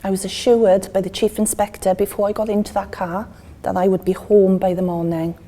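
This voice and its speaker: A woman's voice: mid-range voice, level tone, a little fast, warm tone. A woman, speaking as a local resident in an interview. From Wales. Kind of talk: documentary interview. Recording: faint background ambience noise.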